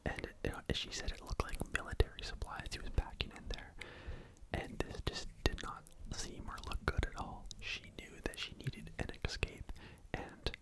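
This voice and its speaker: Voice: normal speed, poor audio. An ASMR host whispering a true crime story to the microphone in a casual, rambling way, often saying "like" and "you know.". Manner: soft unvoiced whisper throughout.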